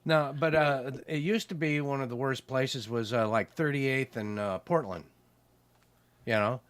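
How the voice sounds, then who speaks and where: A man's voice: mid-range voice, a little slow, a bit bright, dry recording. A man co-hosting a podcast, chatting casually and rambling with lots of "you know" fillers. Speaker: podcast co-host. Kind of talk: casual chat.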